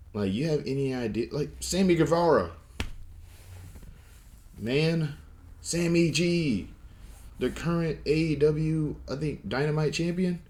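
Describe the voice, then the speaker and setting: A young man talks through his opinions in a casual vlog, and his voice sits somewhat low. He speaks with a lisp and a stutter. He rambles, restarts his sentences and leans on "you know" and "like" as he goes. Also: dark tone. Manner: rambling, many fillers and restarts. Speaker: wrestling vlogger.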